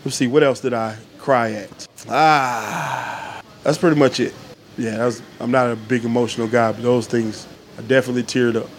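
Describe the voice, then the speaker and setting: A middle-aged man talks in a relaxed, joking way as a podcast guest, his stories loose and sprinkled with curses. His voice sits at an ordinary pitch. A faint hum lingers behind him. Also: moderate pace. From Atlanta, Georgia. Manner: laid-back, joking, profane storytelling. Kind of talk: casual podcast chat.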